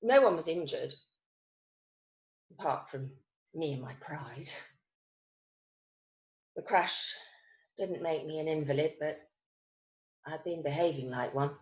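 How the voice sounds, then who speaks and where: An actress playing a self-critical, wry and wistful woman recovering from an accident, telling her story in a theatrical monologue with deliberate pauses. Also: low voice, muffled recording. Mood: regretful, resolute. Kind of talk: dramatic monologue reading of new writing. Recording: roomy, echoing online recording.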